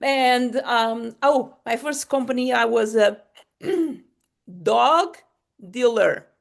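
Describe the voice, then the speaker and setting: Gravelly woman host. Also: fairly high pitch, very slow. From Portugal. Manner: rambling, laughing, self-interrupting. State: short on sleep. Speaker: podcast host. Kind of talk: casual chat.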